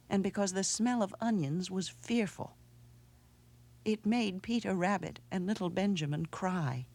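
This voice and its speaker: A woman narrating a children's storybook aloud in a measured, dramatic way, with hushed pauses. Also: on the low side. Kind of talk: expressive storybook read-aloud.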